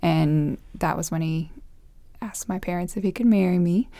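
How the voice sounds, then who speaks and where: Low, gravelly-voiced woman guest. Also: normal speed, warm tone, no echo, studio quality. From Costa Rica. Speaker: podcast guest. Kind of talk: casual conversational interview.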